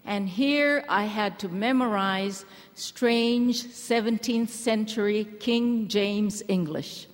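A woman from Hawaii giving an earnest, gentle church address to a women's gathering. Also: fairly high pitch. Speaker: church speaker. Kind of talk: measured address to a women's conference. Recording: large hall reverb.

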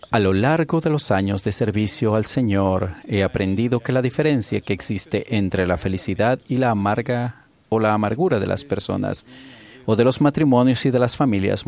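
A man delivering a formal church conference sermon in the words of an interpreter, in a measured way. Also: on the low side, vocal fry, a little mellow.

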